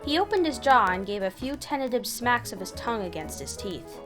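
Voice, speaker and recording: A woman's voice: on the high side, big pitch swings, a little fast. Woman narrating a story over music. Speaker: fan fiction reader. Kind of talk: scripted third-person story narration. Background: background music.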